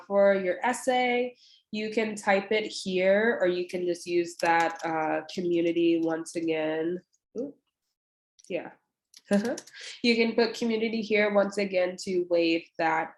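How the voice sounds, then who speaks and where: A young woman with a congested, stuffy nose explains an application form step by step in a relaxed, friendly way, dropping in plenty of ums and uhs. Her voice sits fairly low for a woman. It carries the echo of a bare room picked up by a computer mic. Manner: casual and friendly, many fillers. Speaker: admissions representative. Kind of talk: walking through an online application on a screen share. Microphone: computer mic on a video call.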